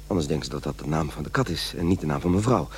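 A man's voice: rumbling low, expressive, racing, slight background noise. An actor playing a fussy, self-important man who dictates his journal and mutters corrections, in a radio play. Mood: musing, fussy.

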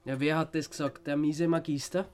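A self-important Austrian grumbler played by a man in a radio drama. His voice sits fairly high for a man, and he complains with indignant sarcasm. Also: fairly even intonation, a little fast, natural voice. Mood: indignant, sarcastic.

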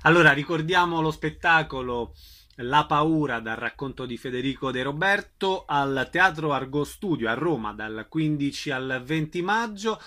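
A man's voice: a little high, expressive, moderate pace, pushed and bright. A man hosting an interview, asking orderly questions and making announcements in a friendly, familiar radio style. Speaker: interviewer, host.

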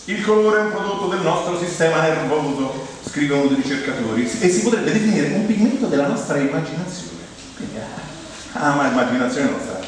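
A middle-aged man with a Roman Italian accent delivers a humorous theatrical monologue in a conversational, expressive way, pausing for effect. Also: fairly high pitch. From Rome, Italy. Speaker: comic monologist. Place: theatre stage in front of an audience. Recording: very echoey hall, distant mic, noisy.

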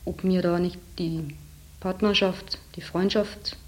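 Young female interviewee, lowish pitch, flat delivery, candid and reflective. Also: takes it slowly. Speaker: department store saleswoman interviewee.

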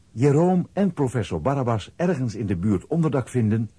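Dark, husky male narrator. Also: medium pitch, no room sound. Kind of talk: scripted narration of a children's audio play.

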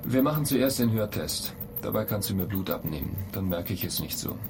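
A man's voice: fairly low pitch, expressive, brisk, over music. A man playing an irritable, ambitious astronaut in a radio play, blunt, impatient and argumentative. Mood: grumpy. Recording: clear noise bed behind the voice.

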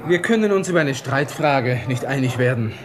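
Actor as a cocky Austrian lieutenant amid a crowd. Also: male voice, medium pitch, lively intonation, normal speed, close to an everyday voice. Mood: mock polite. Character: cocky army lieutenant, formal and provoking. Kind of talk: radio play dialogue. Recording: loud crowd behind the voice.

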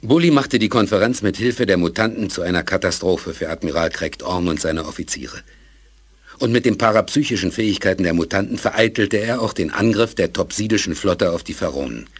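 Deep, husky male narrator. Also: animated, fairly quick. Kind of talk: scripted audio drama narration.

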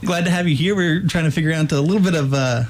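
A man's voice: fairly high pitch, fast talker, a little hiss or hum. A man hosting a college radio interview show, talking in a friendly way with many fillers and restarts.

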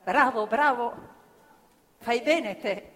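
A woman telling a story on stage, earnest and measured. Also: fairly high pitch, a bit bright. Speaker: stage actress narrator. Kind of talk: theatrical storytelling. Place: theatre stage. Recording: live theatre with long reverb. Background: live violin and piano.

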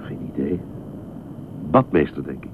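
A man's voice: very deep voice, sing-song, a little slow, heavily acted. A man in a radio play acting a reserved, sceptical man who answers a stranger in a terse, matter-of-fact way. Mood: guarded, irritated. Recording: tinny band-limited radio play, clear noise.

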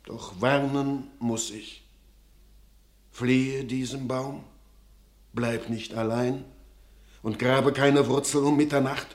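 A solemn old father in a classic radio play, full of dark foreboding, played by an actor. He speaks slowly and gravely, weighing every warning in measured verse. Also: medium pitch, steady tone, natural voice.

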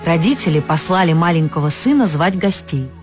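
A woman narrating a folk fairy tale for an audio story, expressive and lifting her pitch on key words. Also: brisk, soft background music.

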